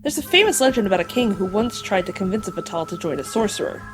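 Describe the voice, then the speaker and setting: A woman's voice: medium pitch, expressive, quick pace, faint music behind, close to an everyday voice. A woman playing an earnest, bookish lover of legends in an audio drama, precise and explanatory. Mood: storytelling.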